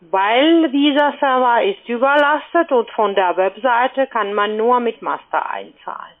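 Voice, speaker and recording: Woman cold caller, kinda high voice, pushy sales talk, thin phone sound. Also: animated, unhurried. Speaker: cold-calling telephone sales agent. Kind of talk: sales pitch on a phone call. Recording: tinny, narrow-band phone line.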